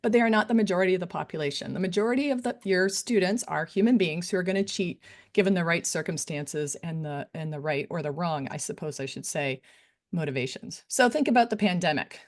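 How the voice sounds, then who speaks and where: A woman's voice: lowish voice, fairly quick. Conversational woman giving a talk. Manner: conversational, with fillers and tag questions. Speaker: academic integrity director giving a faculty talk. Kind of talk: conference presentation.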